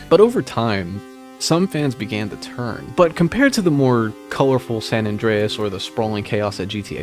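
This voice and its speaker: A man reading a scripted video essay in a dry, wry, measured way. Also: medium pitch. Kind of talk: scripted narration. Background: background music.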